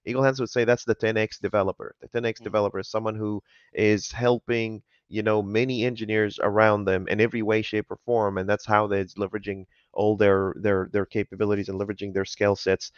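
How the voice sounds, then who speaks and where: A man in his thirties hosting a casual podcast interview over a video call, lively and laughing often, wearing a headset. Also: lowish voice, fast talker, hardly any pauses, clear, resonant tone, no room sound. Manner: enthusiastic, laughs often, acts out quotes. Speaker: podcast host. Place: home office with monitors, indoors. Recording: dull video-call audio.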